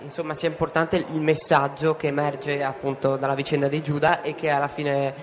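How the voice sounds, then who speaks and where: A man's voice: on the high side, level tone, a little fast, a little hiss or hum. A teenage boy who is a student actor, explaining his part in an interview fluently and eagerly. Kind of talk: interview answers.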